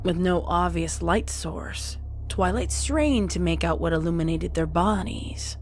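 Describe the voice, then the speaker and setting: Low-pitched woman narrating a story. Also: measured pace, darkish tone, a little hiss or hum. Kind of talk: scripted dramatic narration.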